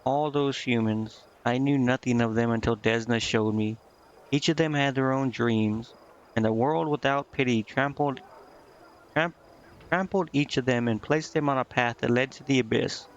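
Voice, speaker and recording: A man's voice: medium pitch, normal speed. Rambling man streaming, dull lo-fi mic. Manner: rambling, thinking aloud, stumbling over words when reading. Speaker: video game streamer. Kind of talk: casual playthrough commentary, reading game dialogue aloud. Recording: dull, lo-fi microphone sound.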